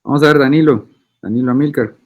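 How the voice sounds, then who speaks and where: A man's voice: mid-range voice, fast, darkish tone. A man, a university lecturer taking roll and teaching in an informal, teasing way over a video call. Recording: tinny phone-like call audio.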